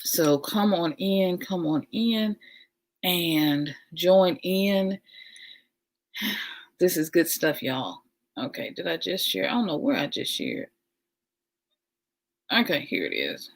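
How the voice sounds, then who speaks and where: Slow, low-voiced woman hosting a livestream. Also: big pitch swings, vocal fry. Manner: warm, enthusiastic, repeats phrases, chatty asides. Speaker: livestream host. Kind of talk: casual livestream talk.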